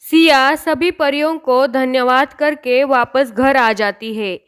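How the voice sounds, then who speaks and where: High, smooth, slow-paced female storyteller. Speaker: storyteller narrator. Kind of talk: scripted fairy tale narration.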